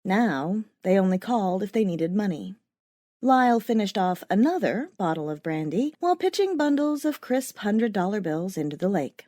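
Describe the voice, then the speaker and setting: A woman narrating scripted first-person fiction as an audiobook, with a wry, expressive storytelling manner. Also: medium pitch, moderate pace, no room sound, clean studio sound.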